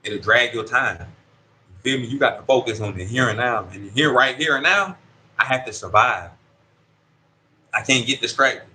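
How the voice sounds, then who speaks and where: A man in his thirties speaks from a prison dorm with a bright, forceful voice, talking in street slang and often checking that the listener follows him. Faint ambient noise sits behind him. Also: mid-range voice, expressive, moderate pace, long pauses, poor audio. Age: 30s. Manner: street slang, keeps asking "you see what I'm saying". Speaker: incarcerated interviewee. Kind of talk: casual conversational storytelling.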